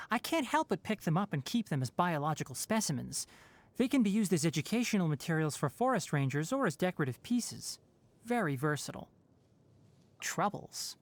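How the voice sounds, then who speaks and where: A wry young fox-eared ranger. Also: male voice, fairly high pitch. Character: young male forest ranger with fox ears and tail, calm and wry. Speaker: game character. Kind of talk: video game voice lines.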